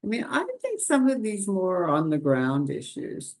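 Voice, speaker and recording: Creaky gravelly panelist rambling on a video call, laughs a lot. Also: medium pitch, sing-song, measured pace, a little mellow. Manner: chatty, laughs often, rambling restarts. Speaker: webinar panelist. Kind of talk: conversational panel discussion. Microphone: computer mic on a video call.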